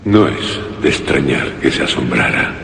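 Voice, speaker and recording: Very deep, echoing questioner. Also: male voice, expressive, normal speed, a bit bright, heavily acted. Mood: questioning. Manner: terse, questioning. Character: probing male listener questioning a tormented narrator. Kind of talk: radio drama dialogue, brief questions. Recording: echoey reverb with background noise.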